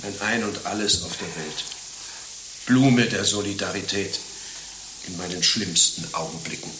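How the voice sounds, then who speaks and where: A male actor playing a pitiful, lovesick and self-dramatising wretch in a satirical radio play, declaiming plaintively. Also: sits low. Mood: maudlin. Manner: slow, plaintive, sentimental declamation. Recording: echoey, noisy room, slightly distant.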